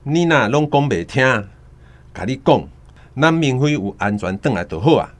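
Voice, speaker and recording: A man acts out a worried, self-serving doctor father in a Taiwanese Hokkien radio play. His medium-pitched voice exclaims and argues, brushing off other people's troubles. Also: big pitch swings, normal speed, lightly acted. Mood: impatient, dismissive. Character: anxious self-protective doctor father, worldly and dismissive. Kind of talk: radio drama acting.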